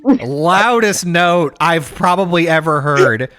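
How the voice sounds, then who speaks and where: Lively, animated male storyteller. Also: fairly high pitch, slow, dry recording, clean studio sound. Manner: animated storyteller, acts out quotes, many fillers. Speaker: podcast co-host. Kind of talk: casual chat, storytelling.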